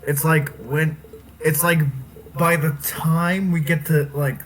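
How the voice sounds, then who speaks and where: A man's voice: on the high side, flat delivery, takes it slowly, a bit bright, low-quality recording. A man giving casual, exasperated commentary as he streams a video game. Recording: game music playing under the voice. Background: video game music.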